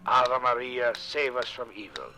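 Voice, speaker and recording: An actor playing a solemn medieval Norse explorer, declaiming a grim account in 1950s radio drama style. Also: male voice, mid-range voice, big pitch swings, a little slow, sharp tone, heavily acted, low-quality recording. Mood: solemn, grave.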